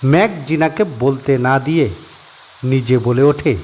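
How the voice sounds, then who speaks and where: A man narrating a horror audio story with expressive, suspenseful storytelling. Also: medium pitch, a little slow, slight background noise. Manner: expressive storytelling with rising and falling tension.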